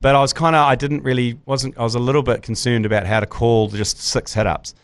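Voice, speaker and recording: A New Zealand man hosting a casual sports podcast, rambling and slangy, his voice hoarse. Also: medium pitch, steady tone, fast talker, no room sound. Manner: laid-back, rambling, slangy. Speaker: podcast host.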